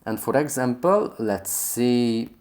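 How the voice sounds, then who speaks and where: A man's voice: mid-range voice, expressive, slow pace, a little mellow. A man narrating an instructional app tutorial as the host of the video.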